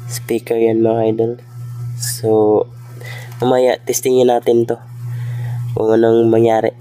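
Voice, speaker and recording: A man's voice: medium pitch, flat delivery, a little slow, warm tone. A man giving a casual hands-on vlog tutorial, informally addressing his viewers as he goes. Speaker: vlogger demonstrating a gadget.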